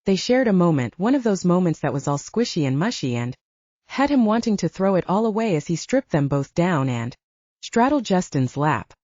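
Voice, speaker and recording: A woman reading scripted fiction as an audiobook narrator, in an expressive, dramatic storytelling manner. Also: lowish voice, normal speed, no room sound, muffled recording.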